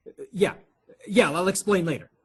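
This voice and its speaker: An actor playing a frantic, appalled nephew in a comedy radio play, flustered and stammering. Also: male voice, high-pitched, moderate pace, very noisy, close to an everyday voice. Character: frantic, appalled nephew of two elderly aunts. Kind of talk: stage comedy radio theatre acting.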